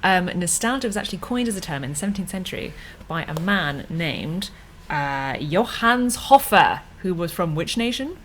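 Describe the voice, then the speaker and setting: A woman's voice: fairly low pitch, expressive, moderate pace, few pauses, a bit bright, a little hiss or hum. An English woman co-hosting a podcast, chatting playfully with many fillers. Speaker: podcast co-host. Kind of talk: casual chat.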